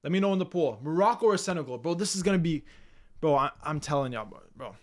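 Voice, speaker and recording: A man's voice: on the high side, expressive, quick pace. A young man from Canada hosting a live stream, chatting casually and energetically with his viewers in slangy, repetitive talk. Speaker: livestream host.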